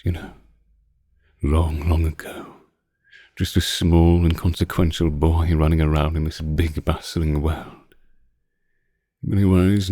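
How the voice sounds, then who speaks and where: A man's voice: a bass voice, mellow, heavily acted. A man playing a seductive, possessive ancient vampire in an intimate audio roleplay, commanding and teasing, sometimes sinking into whispers. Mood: brooding, seductive. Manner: slow, teasing, commanding, breathy drops into whispers. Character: seductive, predatory male vampire, ancient but youthful-looking, possessive.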